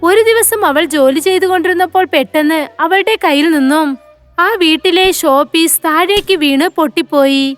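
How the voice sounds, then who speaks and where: A woman's voice: very high voice, normal speed, faint music behind. A woman narrating a scripted moral story for children.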